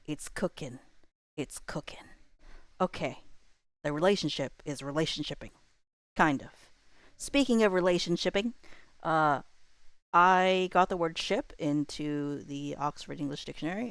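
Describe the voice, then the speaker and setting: A woman's voice: low-pitched, dry recording. A woman livestream host chatting casually, rambling and thinking aloud in an informal way.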